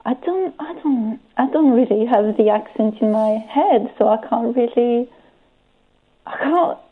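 Female radio guest, hesitant and hedging, slightly high, phone-line sound. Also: expressive, a little slow, slightly rough. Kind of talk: casual radio chat.

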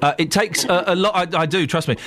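A man's voice: sits high, fast talker, fairly bright tone. A man hosting a radio phone-in, teasing and playful, a little bunged up. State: bunged up nose. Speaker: radio presenter. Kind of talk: casual radio phone-in chat.

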